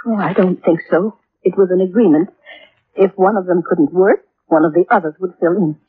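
Husky, subdued, worried mother. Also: fairly low pitch, moderate pace, dry recording. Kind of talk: old-time radio drama acting. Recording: thin, phone-like old radio recording.

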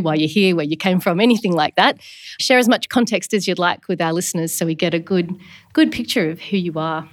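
A woman's voice: medium pitch, a bit bright. A woman hosting a conversational podcast, telling stories reflectively with small restarts. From Australia. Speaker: podcast host.

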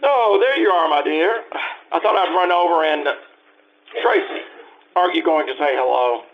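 An adoring, slightly stuffy suitor, played by an actor in an old-time radio drama. He speaks earnestly in a somewhat high male voice. The recording is dull, with faint noise behind it. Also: moderate pace, lightly acted. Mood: hearty. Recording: dull, noisy live stage recording.

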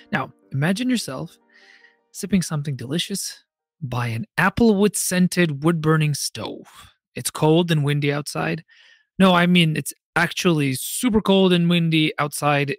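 Male podcast host, slightly high voice, expressive storyteller delivery, direct address, very dry close recording. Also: creaky, no room sound. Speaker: podcast host and fantasy author. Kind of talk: scripted podcast monologue with conversational asides.

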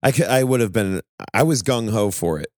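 A man with a very deep voice chats on a podcast, animated and quick to curse or laugh at himself. The sound is dry and close. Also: dry recording. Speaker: podcast co-host and touring musician. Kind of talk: casual chat.

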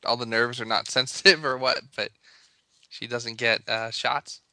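A man's voice: mid-range voice, steady tone, normal speed, sharp tone, no room sound. A man talking in a casual, conversational way as he chats with a co-host. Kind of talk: casual chat.

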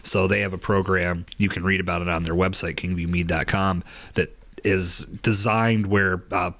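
A man's voice: sits low, fairly even intonation, moderate pace, gravelly, a bit bright. A man co-hosting a casual podcast chat, talking up a program with some hesitant repeats. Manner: promotional, hesitant, repeats words. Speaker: podcast co-host.

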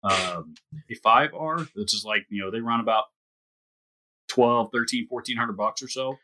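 A man talking as a guest about guitars, halting and full of filler words. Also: medium pitch, pushed and bright, low-quality recording. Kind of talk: casual podcast chat about guitars.

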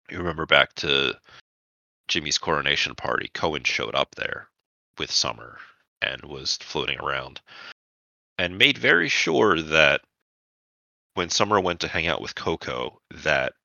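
Very deep, gravelly man narrating a game. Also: sharp tone, no echo, lo-fi sound. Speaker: game master. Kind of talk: narrating a tabletop roleplaying game.

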